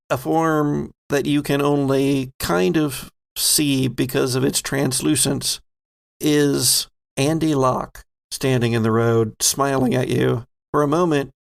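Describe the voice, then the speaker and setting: A man narrating a tabletop horror game as game master, with deliberate, dramatic storytelling. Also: mid-range voice, vocal fry. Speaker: game master, podcast host.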